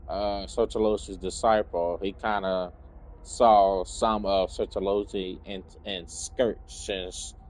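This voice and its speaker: A man's voice: low voice, little pitch movement, slow, a little mellow, a little hiss or hum. A man giving casual, off-the-cuff commentary as he plays through a game's story. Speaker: gamer reacting to game story.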